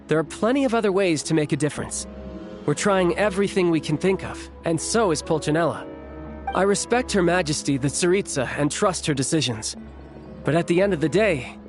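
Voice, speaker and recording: A man's voice: fairly high pitch, natural voice. An actor playing a confident young military officer in a video game, easygoing and candid, earnest about his loyalties.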